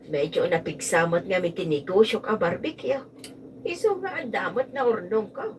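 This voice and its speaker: A woman with a Filipino accent playing an emotional, expressive mother in a radio drama. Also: medium pitch, moderate pace, lightly acted. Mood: earnest, concerned. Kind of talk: Ilocano radio drama acting. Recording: distant mics, noisy amateur live recording.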